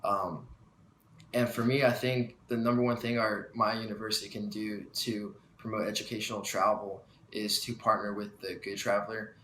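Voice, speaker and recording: A man's voice: lowish voice, little pitch movement, moderate pace, a bit bright. A young American man, a university student, giving a short video statement. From United States. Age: young adult. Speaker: student speaker. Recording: roomy echo, faint background noise.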